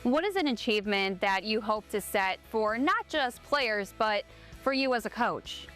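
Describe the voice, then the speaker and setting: A woman reporter asks an interviewee a series of prepared questions, polite and measured in tone. Her voice sits slightly high, with faint music underneath. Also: lively intonation, fairly bright tone.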